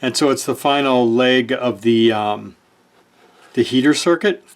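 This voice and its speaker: A man talks through hands-on repair work in an informal, unscripted way at a middling pitch. He thinks aloud as he goes, with halting phrases that trail off or get corrected, and keeps his wording laid-back. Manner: halting, trailing off and self-correcting mid-thought. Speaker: host of a repair channel, hobbyist radio restorer. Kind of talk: casual unscripted workshop narration, thinking aloud while working.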